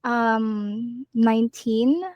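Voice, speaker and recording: A woman's voice: fairly high pitch, level tone, drawn-out words, dry recording, dull audio. Earnest young woman, hesitant answers. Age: 20s. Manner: earnest, hesitant, many ums. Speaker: call center agent, guest. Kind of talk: casual interview chat.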